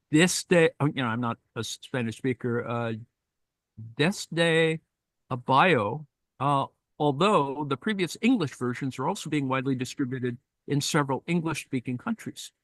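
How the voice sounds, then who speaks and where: A man reading a text aloud in a live-streamed discussion and commenting on it, thoughtful and halting, with many fillers and asides. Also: fairly high pitch, no echo.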